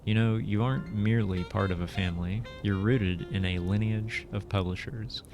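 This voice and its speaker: A man's voice: on the low side, fairly even intonation, normal speed, over music, lightly acted. A man voicing a reminiscing immigrant parent from a publishing family, reading out a game's story. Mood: wistful, proud.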